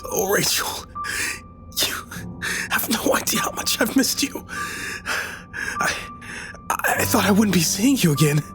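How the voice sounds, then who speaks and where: A man playing an anguished, haunted lover in an audio drama, with emotional, halting delivery. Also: high voice, lively intonation, big-room sound. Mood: overwhelmed relief. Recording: music under the voice. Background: background music.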